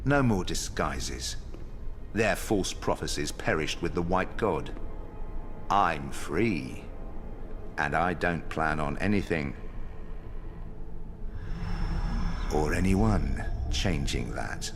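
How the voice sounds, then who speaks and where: An actor playing an ancient banished trickster god, a smug and sardonic game villain delivering theatrical monologues, savoring each word. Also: male voice, a bass voice, lively intonation, takes it slowly, music in the background, full character voice. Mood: brooding, defiant. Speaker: video game villain in story cutscenes.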